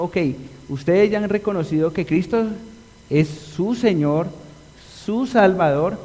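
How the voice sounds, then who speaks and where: A man preaching a live sermon in a chapel, explaining a text and asking the congregation questions, drawing out key words slowly. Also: a little high, mellow. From Colombia. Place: church chapel. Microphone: livestream recording. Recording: long reverb of a church hall.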